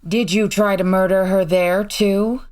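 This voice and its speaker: An actress playing a poised, take-charge high-society lady detective in a period radio drama, businesslike and polite in her questions. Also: fairly even intonation, unhurried, a little mellow, lightly acted. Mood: inquisitive, composed.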